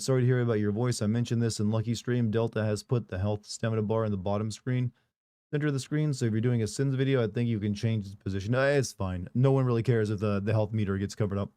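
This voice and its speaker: A hoarse man, his voice still coming back, rambles casually through a live stream, reading viewer messages and tossing off jokes. His tone is dark and mellow. The sound is dry and close, with no room echo. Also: lowish voice. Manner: rambling, casual, joking asides. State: hoarse, recovering voice.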